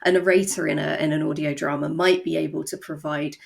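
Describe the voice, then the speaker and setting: A woman chatting casually as an interview guest, telling things in an animated way with quoted asides. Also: low voice, a little fast, creaky. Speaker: guest, audio drama writer and novelist.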